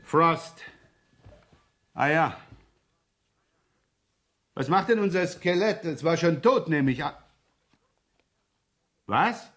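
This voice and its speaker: A man's voice: mid-range voice, fairly bright tone, close to an everyday voice. Guy playing grumbly middle-aged detective inspector, dry and wry, radio play, big reverb on it. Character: weary, sardonic, gruff English police inspector, widowed. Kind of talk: 1970s German radio crime drama acting.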